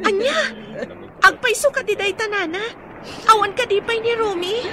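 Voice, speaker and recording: A woman's voice: very high-pitched, normal speed, a loud crowd. A woman acting as a concerned, dutiful young daughter in an Ilocano radio drama, earnest and questioning. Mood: worried, earnest. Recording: clear background noise, engine-like ambience.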